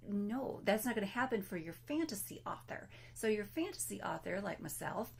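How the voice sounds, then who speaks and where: A woman presents to fellow writers while losing her voice, so it comes out weak and breathy, sometimes slipping into a whisper. She talks in a chatty, self-deprecating way, with filler words along the way. Her pitch sits in the middle range. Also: big pitch swings, normal speed. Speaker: author giving a presentation. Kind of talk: casual recorded presentation.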